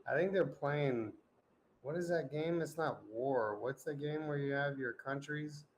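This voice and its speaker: A man's voice: on the high side, unhurried, a little hiss or hum, low-quality recording. A man in his forties chatting casually, loose and profane, teasing the others with jokes. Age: 40s. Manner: loose, profane, joking banter. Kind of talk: casual group chat.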